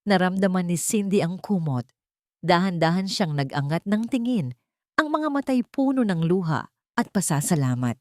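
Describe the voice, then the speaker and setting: A woman's voice: lowish voice, lively intonation, moderate pace, darkish tone, no room sound, clean studio sound. A woman storyteller reading scripted narration of a dramatic love story.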